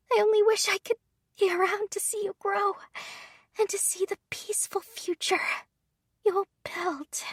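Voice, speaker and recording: A woman's voice: very high voice, dry recording, clean studio sound, voice pushed up. A woman whispering as a dying young maid. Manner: faint breathy whisper. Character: dying young maidservant, gentle and grateful.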